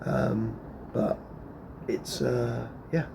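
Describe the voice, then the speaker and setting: A man chatting casually to camera in a vlog about lawn care, hoarse and losing his voice, rambling with lots of fillers and restarts. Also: medium pitch, steady tone, drawn-out words, noisy background.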